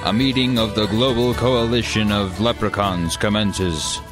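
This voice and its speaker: A man's voice: fairly low pitch, steady tone, unhurried, music in the background. A man giving scripted story narration for a comedy radio play.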